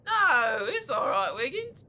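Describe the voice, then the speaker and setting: A clever street girl in an audio drama. She sounds earnest and direct, high-pitched and forceful, with lively melody. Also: slow pace, exaggerated voice. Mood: earnest, probing.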